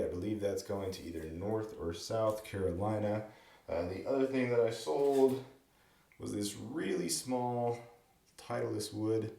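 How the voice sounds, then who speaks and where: A slightly hoarse man, who is sick and losing his voice, recapping his golf club sales in a chatty way. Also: low-pitched, warm tone. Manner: chatty, explanatory, with frequent fillers. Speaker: golf club reseller and vlogger. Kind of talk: casual to-camera sales recap. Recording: roomy echo.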